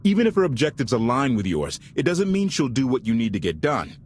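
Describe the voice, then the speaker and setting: A man's voice: mid-range voice, wildly swooping pitch, fairly quick, a little mellow, slight background noise. A man acting a scrappy, loyal starship pilot in a video game, informal, earnest and opinionated. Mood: wary, earnest.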